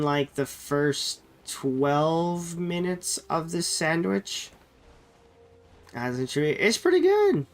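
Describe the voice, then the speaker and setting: A man streaming a game while sick with a cold, sounding nasal and chatting loosely with viewers, often correcting himself. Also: on the high side. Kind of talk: casual live-stream chat.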